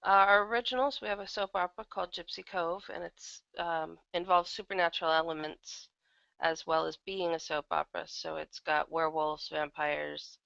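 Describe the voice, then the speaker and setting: Gravelly low-voiced woman, casual interview. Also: steady tone, normal speed, fairly bright tone, no echo, muffled recording. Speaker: interview guest, audio drama writer and producer.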